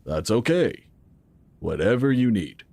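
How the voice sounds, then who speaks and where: An actor playing a calm, assured founder of a futuristic tech organization, explaining in a measured way in an audio drama. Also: male voice, fairly low pitch, creaky, no echo, exaggerated voice. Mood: reassuring, encouraging. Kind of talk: scripted audio drama acting.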